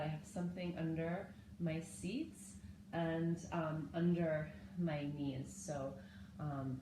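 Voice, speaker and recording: Low-voiced, slightly hoarse woman guiding yoga. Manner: calm, gentle cueing with breath. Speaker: yoga and meditation teacher. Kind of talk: guided yoga instruction. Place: living room at home, indoors. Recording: echoey home room, faint background noise.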